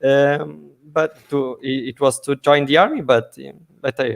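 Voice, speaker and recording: A man's voice: medium pitch, steady tone, unhurried, vocal fry. A man, a guest answering interview questions over a video call, hesitant and full of fillers. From Portugal. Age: 20s. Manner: hesitant, many fillers and restarts. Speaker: guest, army officer.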